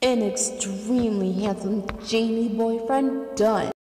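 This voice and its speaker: A woman voicing a smug, deadpan young male genie with a flat, dry delivery in an amateur cartoon comic dub. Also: fairly high pitch, slow, slightly rough, in a large hall, soft background music.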